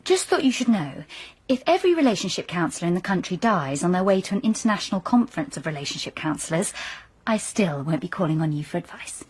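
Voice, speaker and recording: Husky, tart exasperated daughter. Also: female voice, on the low side, sing-song, fast talker, a little mellow, low-quality recording. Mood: sarcastic. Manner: tart, sarcastic. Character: exasperated, sarcastic adult daughter. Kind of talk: naturalistic radio drama dialogue.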